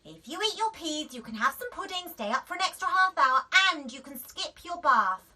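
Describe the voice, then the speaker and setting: A woman's voice: high voice, sing-song, moderate pace, bright, forceful tone, pitched above the performer's own voice, exaggerated voice. A woman voicing an exasperated mum in a children's storybook, coaxing and bribing in gushing lists. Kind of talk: children's storybook character reading.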